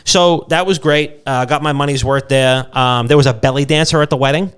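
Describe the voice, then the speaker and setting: Young dude on a podcast, smooth clean voice, joking and swearing, casual storytelling. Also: male voice, mid-range voice, steady tone, brisk. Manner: irreverent, swearing, jokey. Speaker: podcast co-host.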